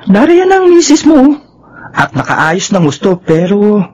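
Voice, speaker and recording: A man's voice: sits high, huge pitch swings, measured pace, a little mellow, highs cut off, full character voice. An actor playing a tactful, concerned male coworker with a Filipino accent, casual and hesitant, in a radio drama. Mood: teasing, casual.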